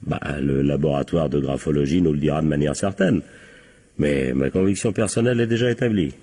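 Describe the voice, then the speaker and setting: Husky, insistent police captain. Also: male voice, extremely low pitch, brisk, warm tone, full character voice. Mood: forceful, accusing. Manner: colloquial, pressing, prodding with short tag questions. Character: male police captain, insistent, sardonic interrogator. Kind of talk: French radio crime drama acting.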